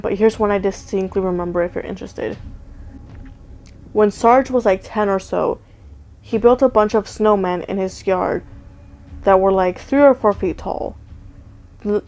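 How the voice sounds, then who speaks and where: A woman's voice: medium pitch, a little mellow. A woman reading a first-person story aloud in a casual, conversational way. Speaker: story narrator.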